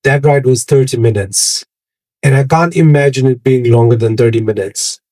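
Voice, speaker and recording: A man's voice: medium pitch, normal speed, darkish tone. A man from Tanzania hosting an interview, curious and conversational, drawing on his own experience. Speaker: host.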